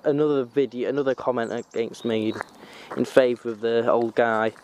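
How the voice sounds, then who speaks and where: A man's voice: mid-range voice, steady tone, normal speed, darkish tone, slight background noise. Rambling young man with a Manchester accent. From North Wales. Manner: rambling, self-correcting, informal. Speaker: vlogger. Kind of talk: casual vlog commentary. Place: outdoors.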